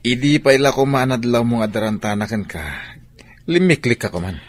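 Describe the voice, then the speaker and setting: A man's voice: mid-range voice, a little fast, lightly acted. A man with an Ilocano accent playing a godfather who lectures a younger man in a radio drama. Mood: scolding. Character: older man, godfather giving advice.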